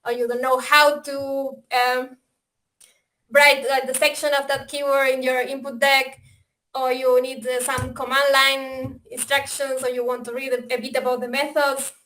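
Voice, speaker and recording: Argentinian woman, pretty high voice, webinar talk over a video call, lots of uhs and restarts. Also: flat delivery, normal speed, a bit bright. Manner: hesitant, many fillers and restarts. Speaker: software developer presenting. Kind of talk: webinar presentation.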